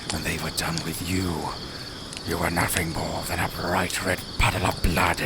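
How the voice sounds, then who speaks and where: A man's voice: low voice, very noisy. A man voicing a haughty, sardonic lone werewolf in an audio roleplay, speaking to the listener in formal, condescending, theatrical phrases. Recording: night forest ambience with crickets, clearly audible. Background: outdoor forest sounds, crickets.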